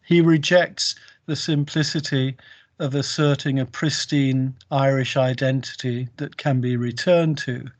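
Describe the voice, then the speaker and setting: A man lecturing on literature in a deliberate, emphatic way, over an online video call. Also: mid-range voice, steady tone. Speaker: university lecturer. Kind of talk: literature lecture with quotations read aloud.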